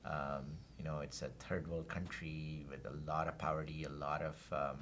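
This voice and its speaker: Deep-voiced guy from saudi arabia telling his life story in an interview, faint background hiss. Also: flat delivery, moderate pace, a bit bright. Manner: reflective, storytelling, with fillers. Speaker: guest. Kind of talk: casual interview chat.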